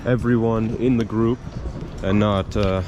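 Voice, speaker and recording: A man's voice: on the low side, steady tone, a little slow, noisy background. A man narrating a casual travel vlog, with faint outdoor city sound behind him. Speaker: travel vlogger.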